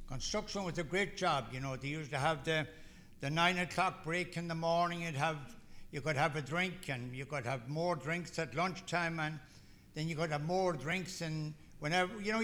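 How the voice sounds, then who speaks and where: A man's voice: a little high, bright, forceful tone. An older man from Ireland with an Irish accent, telling his own recovery story to a meeting in a folksy, rambling way. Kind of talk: personal testimony talk.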